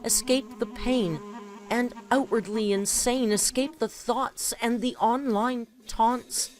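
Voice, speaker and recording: A woman's voice: on the high side, slow, a little mellow. A woman voicing a bitter, wounded female poet reciting melodramatic spoken-word verse with heavy dramatic emphasis. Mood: wounded, defiant.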